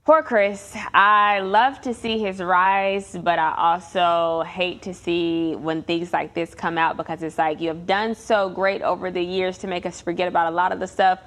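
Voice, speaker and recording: A woman's voice: fairly low pitch, steady tone, normal speed, few pauses, fairly bright tone. Wry American woman hosting a game show. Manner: teasing, wry. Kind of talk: casual conversational hosting.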